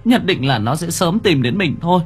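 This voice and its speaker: A man's voice: on the high side, sing-song, moderate pace, slightly rough, faint music behind, voice pushed up. A man voicing a frightened young woman who stammers and pleads with her boyfriend in a horror audio story. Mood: anxious, fearful. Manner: stammering, repeats words in panic.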